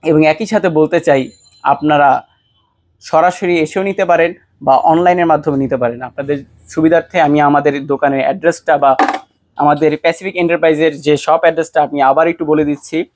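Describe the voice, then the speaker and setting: Higher-pitched Bangladeshi salesman, dry sound. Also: steady tone, a little fast, a little mellow, dry recording. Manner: salesman-like, addresses viewers directly with rhetorical questions. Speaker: shop presenter selling a product. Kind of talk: promotional product pitch to camera.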